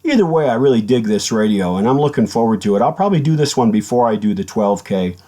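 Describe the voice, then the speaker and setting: A man giving a casual, hands-on workshop explanation as a hobbyist restorer, haltingly thinking aloud as he works. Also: on the low side, animated, warm tone. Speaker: hobbyist radio restorer and video maker. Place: indoor home workshop at a workbench.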